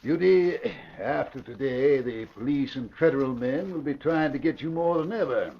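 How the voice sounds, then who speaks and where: An actor playing a wary, exasperated gangster's lawyer in an old radio crime drama, cautioning in a gravelly, husky voice. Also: male voice, medium pitch, moderate pace. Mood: worried pleading. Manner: cautioning, pleading. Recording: muffled old radio recording.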